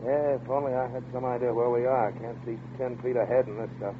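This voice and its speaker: An actor playing a relaxed, joking young husband who soothes his wife, in 1940s radio acting. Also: mid-range voice, fast talker, natural voice. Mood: reassuring. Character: easygoing young husband driving. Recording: tinny old radio broadcast with faint hiss.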